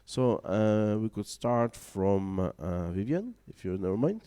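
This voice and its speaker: A man chairs a panel discussion at a conference, his voice low-pitched and mellow. He talks in halting English, with frequent pauses and fillers as he hands the floor around. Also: sing-song, clean tone. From Baltic region. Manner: hesitant, many fillers, non-native phrasing. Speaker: moderator. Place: conference room.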